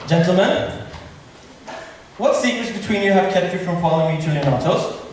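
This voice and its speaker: A man's voice: high-pitched, moderate pace, lightly acted. A man acting a confident, genial noble prince in an amateur stage comedy, speaking in a declamatory way. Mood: commanding. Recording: reverberant hall, distant mic, noisy.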